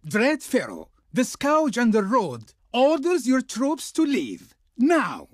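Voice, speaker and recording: A male actor playing a pompous, fawning Egyptian envoy in a video game, speaking ceremoniously with reverent pauses, as if declaiming for his Pharaoh. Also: very high voice, huge pitch swings, dry recording, clean studio sound, full character voice. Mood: imperious, demanding. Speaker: video game diplomat character. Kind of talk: theatrical video game voice acting.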